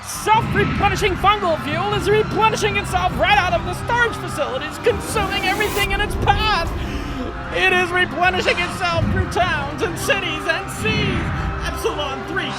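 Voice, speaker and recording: A frantic female emergency broadcaster played by an actress delivers an alarmed bulletin in an audio drama. Her voice is extremely high, over loud music and crowd uproar. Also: moderate pace, poor audio. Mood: panicked urgency. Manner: urgent, alarmed announcing.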